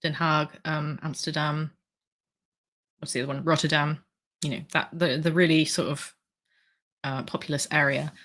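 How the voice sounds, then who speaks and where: A low-pitched woman from the UK with a slightly sore throat, hosting a relaxed livestream. She rambles warmly through viewer comments, full of ums and asides. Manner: chatty, rambling with fillers. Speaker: livestream host. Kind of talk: casual livestream chat reading comments.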